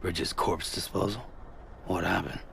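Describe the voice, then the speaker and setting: Very deep, gravelly, terse loner. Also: male voice, steady tone, measured pace, fairly bright tone, exaggerated voice. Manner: terse, reluctant. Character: gruff, solitary, weary male freelance deliveryman. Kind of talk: video game cutscene acting. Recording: clear background noise.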